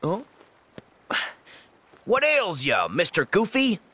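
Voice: mid-range voice, wildly swooping pitch, takes it slowly, bright, forceful tone, slightly rough, heavily acted. An actor playing a cheerful, eager teenage boy hero who plays at pirate captain in a video game cutscene. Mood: playful bravado. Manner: eager, playful, exclamatory.

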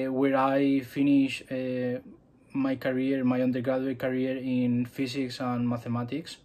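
A man's voice: mid-range voice, a little mellow. A young man from Spain talking casually to camera in a vlog, hesitant, with many fillers and restarts. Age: 20s. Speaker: vlogger. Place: small room indoors.

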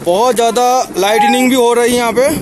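A man's voice: very high-pitched, fairly even intonation, fast talker. A young man narrating a casual vlog on a handheld camera outdoors. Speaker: vlogger filming a hill trek. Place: outdoors on an open windy mountainside. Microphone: handheld phone or camera mic. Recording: noisy windy outdoor camera recording.

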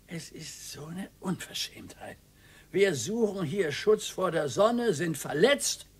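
An actor playing a grumpy, blustering older retired husband in a radio play. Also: male voice, high-pitched, lively intonation, takes it slowly, husky, exaggerated voice. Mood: indignant. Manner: blustering, indignant.

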